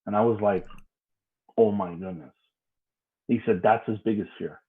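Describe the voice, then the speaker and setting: A man in his forties talking as a guest on a business podcast, emphatic and animated, laughing easily between points. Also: lowish voice, normal speed, narrow, tinny audio. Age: 40s. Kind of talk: casual podcast conversation.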